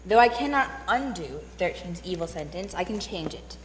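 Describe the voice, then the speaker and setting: A woman playing a kindly, calm wise woman who bestows magic in a readers theatre fairy tale. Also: fairly low pitch, moderate pace, natural voice. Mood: solemn reassurance. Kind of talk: readers theatre stage reading. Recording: reverberant hall with faint noise.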